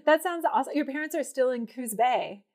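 A woman's voice: high-pitched, expressive, brisk, darkish tone. A woman conducting a casual, conversational interview as the host.

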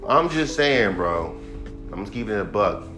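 A man in his thirties hosting his own podcast, a rapper ranting bluntly about music with heavy swearing and a voice he calls monotone. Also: lowish voice, moderate pace. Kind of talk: profane casual rant.

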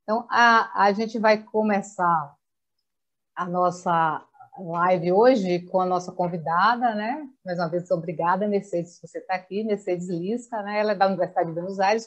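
Brazilian woman moderating, conversational, mid-pitched. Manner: conversational, frequent tag questions. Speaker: panel moderator. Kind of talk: informal spoken introduction of an online panel.

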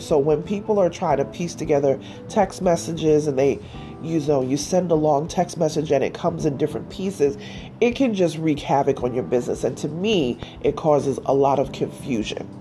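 A woman's voice: sits low, mellow. A woman hosting her solo podcast, chatting casually with a stuffy nose and lots of fillers. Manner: chatty, rambling with many fillers. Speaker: podcast host.

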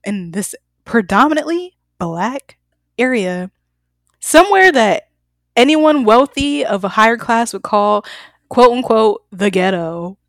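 A woman's voice: fairly high pitch, unhurried, dry recording, studio quality. A young woman from the American South co-hosting a podcast, telling stories candidly and with animation. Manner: candid, animated storytelling with bursts of laughter. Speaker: podcast co-host. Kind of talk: casual chat.

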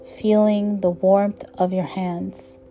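A woman guiding a meditation with slow, gentle instruction in a soothing, measured manner, letting phrase ends trail softly. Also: medium pitch, little pitch movement, faint music behind. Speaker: meditation guide.

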